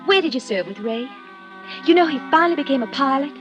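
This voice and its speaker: Young widow, higher voice, polite and eager to please, muffled vintage radio drama sound. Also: female voice, lively intonation, quick pace, over loud music. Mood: gracious, fond. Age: young adult. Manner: polite, effusive, soft-mannered. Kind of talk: 1950s radio drama acting. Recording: dull old radio recording, faint noise.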